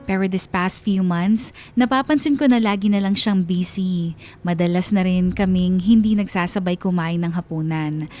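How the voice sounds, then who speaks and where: A woman's voice: lowish voice, normal speed, mellow, natural voice. An actress playing a devoted, wistful wife of thirty-four who narrates her own story in a radio drama. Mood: wistful, troubled. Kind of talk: dramatized first-person letter narration. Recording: music bed behind the voice.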